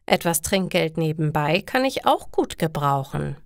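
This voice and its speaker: A woman voicing an eager, easily indignant young male pizza courier in a storybook for language learners, with emphatic, animated delivery. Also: low voice, unhurried, no echo, studio quality, full character voice. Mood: indignant, keen. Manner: animated, emphatic questions and retorts.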